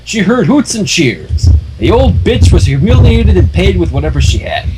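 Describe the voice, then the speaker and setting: A man's voice: high-pitched, wildly swooping pitch, normal speed. A man informally reading a fan story aloud on a livestream, adding his own offhand comments. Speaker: livestream fanfiction reader. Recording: noisy home livestream microphone.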